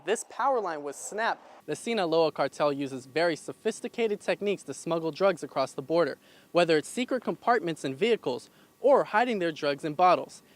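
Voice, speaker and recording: A man's voice: high voice, a little hiss or hum. A man working as a television news reporter, delivering scripted reports and stand-ups on location.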